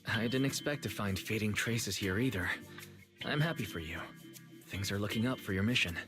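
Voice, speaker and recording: Actor as low-voiced, calm young guide. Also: male voice, music in the background, natural voice. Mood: thoughtful. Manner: measured, serious, reassuring. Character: calm, earnest, analytical young male proxy guide. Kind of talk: video game voice acting.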